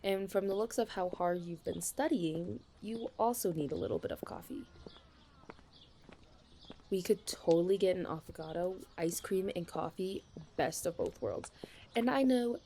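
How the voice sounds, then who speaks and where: A woman's voice: on the low side, moderate pace, mellow, slight background noise, close to an everyday voice. A young woman playing a chatty, dramatic best friend in a casual ASMR audio roleplay. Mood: playful, upbeat. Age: young adult. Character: chatty, caffeine-loving female best friend, self-deprecating about dating.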